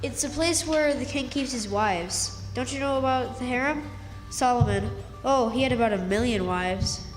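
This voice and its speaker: A woman's voice: on the high side, normal speed, close to an everyday voice. A woman playing a plucky know-it-all country boy in a radio play, with a folksy backwoods delivery. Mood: explaining, sure. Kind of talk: stage radio drama acting. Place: live stage in a hall. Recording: echoey hall, music behind.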